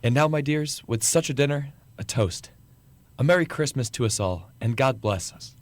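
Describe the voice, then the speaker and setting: Humble family man acted by a man, gentle, affectionate, earnest, mid-pitched, radio drama. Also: expressive, moderate pace, no room sound, lightly acted.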